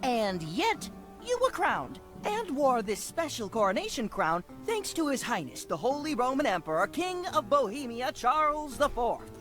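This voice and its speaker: An actress playing an officious but deferential royal scribe puppet in a video game, with a prim, formal delivery. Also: female voice, high-pitched, big pitch swings, moderate pace, music in the background. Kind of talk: storybook puppet-show acting in a video game.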